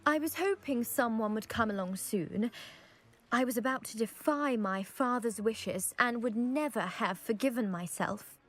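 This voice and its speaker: A woman's voice: a little high, unhurried. A woman playing a proud, eager young lady from a scholarly family in a video game, with a posh British accent and precise, self-assured delivery. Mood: relieved, hopeful. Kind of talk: video game character acting.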